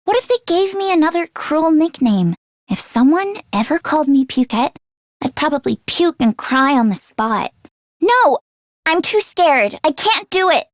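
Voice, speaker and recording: A woman voicing an anxious, self-deprecating teenage girl mechanic in a video game, expressive and earnest. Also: high voice, unhurried, no room sound, clean studio sound. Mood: scared, insecure. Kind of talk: video game character voice acting.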